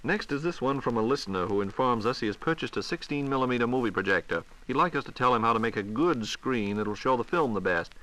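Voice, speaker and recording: A man with a medium-pitched, bright voice hosts a 1940s radio question-and-answer show. He moves along quickly, putting scripted questions in a polite, crisp announcer manner. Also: lively intonation, poor audio. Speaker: radio host reading listener questions. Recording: 1940s radio broadcast recording.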